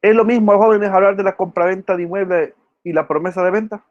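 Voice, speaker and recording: A man's voice: sits high, fairly even intonation, fast talker. Man lecturing law, dull audio. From El Salvador. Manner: quizzes students by name, repeats key words. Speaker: law professor. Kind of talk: online class lecture.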